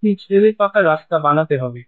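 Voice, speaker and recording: A man's voice: on the high side, expressive, fast, no room sound, phone-quality sound, lightly acted. A man voicing a struggling, stubborn village fish seller in a cartoon, with a plaintive, complaining manner. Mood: determined. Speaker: cartoon voice actor. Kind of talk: Bengali cartoon story acting.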